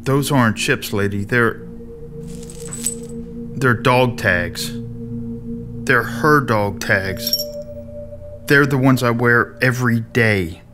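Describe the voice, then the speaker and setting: A man playing a gruff, guilt-ridden military sergeant in an audio drama, terse and defensive. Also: mid-range voice, slow, pauses often, natural voice. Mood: insistent. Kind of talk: scripted audio drama acting. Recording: music behind the voice.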